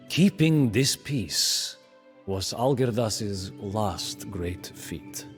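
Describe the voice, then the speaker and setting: An actor playing a proud, bitter medieval Lithuanian prince who narrates his story theatrically in a video game cutscene. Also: mid-range voice. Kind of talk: dramatic scripted historical narration in character.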